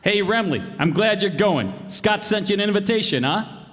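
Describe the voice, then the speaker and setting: A man's voice: sits high, expressive, moderate pace, fairly bright tone. Man acting a vain, breezy radio-comedy dad. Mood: boastful, breezy. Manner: boastful, breezy. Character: vain, folksy, slangy bandleader husband and father. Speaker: radio player. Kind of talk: stage re-creation of old radio comedy. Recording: reverberant hall, live stage performance.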